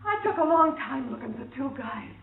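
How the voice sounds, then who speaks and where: A woman's voice: sits high, big pitch swings, fairly quick, vocal fry, breathy edge, exaggerated voice. An actress playing a brassy, slangy, vengeful female crook in an old radio crime drama. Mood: angry. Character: hard-boiled, vengeful blonde female crook. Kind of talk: 1940s-50s radio crime drama acting. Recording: tinny old radio recording.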